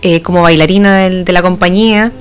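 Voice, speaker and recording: A woman's voice: lowish voice, steady tone, brisk, clear, resonant tone, soft background music. A woman from Chile with a Chilean accent, speaking as a guest in a casual radio interview. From Santa Cruz, Chile. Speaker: guest, folk ballet dancer.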